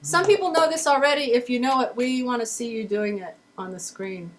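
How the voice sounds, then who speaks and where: A woman's voice: fairly high pitch, brisk. A woman from Delaware County co-leading a family folk workshop on a video call, friendly and encouraging. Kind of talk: casual online workshop talk.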